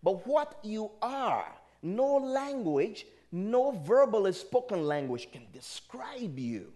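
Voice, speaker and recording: Male spiritual speaker, Liberian accent and native dialect speaker. Fairly high, very lively pitch, emphatic motivational sermon delivery. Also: slow. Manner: emphatic, rhetorical, questioning the audience. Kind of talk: motivational sermon to a live audience.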